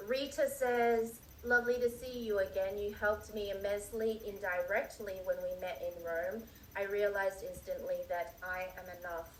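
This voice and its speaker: A woman's voice: medium pitch, fairly even intonation, moderate pace, few pauses, a little hiss or hum. A woman moderating a Q&A, reading audience questions aloud and adding short murmurs of agreement. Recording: echoey room, distant mic, faint crickets.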